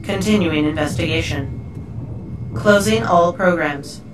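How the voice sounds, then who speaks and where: A woman's voice: deep voice, slow, noisy background. A woman voicing an impassive computer system in an audio drama, giving clipped, formal status reports without emotion. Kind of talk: scripted computer announcements. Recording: echoey, distant, loud music behind.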